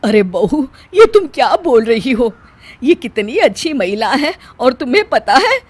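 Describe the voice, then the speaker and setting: A woman's voice: high voice, big pitch swings, a little slow, slightly rough, full character voice. A woman voicing a plaintive, feisty village mother-in-law in a family story, complaining expressively. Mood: protesting.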